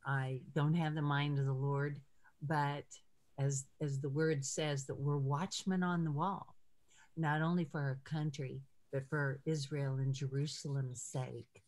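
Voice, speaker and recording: An older woman leading an informal ministry video call, speaking in an encouraging, halting way from a room at home. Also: low-pitched, fairly even intonation, darkish tone. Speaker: ministry leader hosting a call. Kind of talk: informal prayer-call talk. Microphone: video call computer mic.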